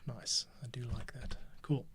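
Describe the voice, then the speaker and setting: A man's voice: mid-range voice, steady tone, measured pace. A man hosting a podcast, talking casually to himself while he edits audio, now and then dropping to a whisper. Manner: chatty, talks to himself while working, drops into whispers. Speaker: podcast host and editor. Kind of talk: casual behind-the-scenes commentary while editing. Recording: music playing behind voice. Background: music playing and keyboard typing.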